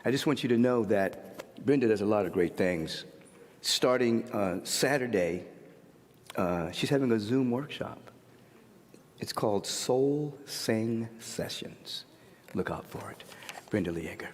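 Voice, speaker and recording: A man's voice: fairly low pitch, lively intonation, measured pace, big-room sound, slight background noise. A man hosting a church service, joking with a practitioner and introducing a guest singer with playful emphasis. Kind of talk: introducing a performer.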